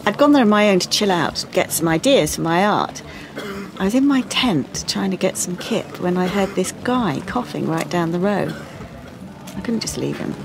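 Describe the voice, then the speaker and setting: A calm, self-contained young woman. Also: mid-range voice, moderate pace, vocal fry, background noise. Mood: reflective. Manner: calm, dry. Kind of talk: audio play monologue and dialogue. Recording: music behind the voice.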